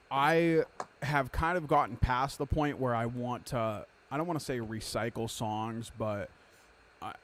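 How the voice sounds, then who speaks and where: A man's voice: mid-range voice, a little hiss or hum. A man in his thirties hosting a podcast, chatting casually in an emphatic, profane way and giving advice. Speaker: podcast host.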